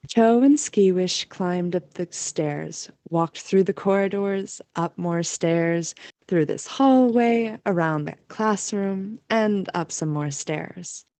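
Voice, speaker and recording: A woman's voice: lowish voice, takes it slowly, warm tone, no echo. A woman reading scripted story narration as the narrator of an amateur audio drama.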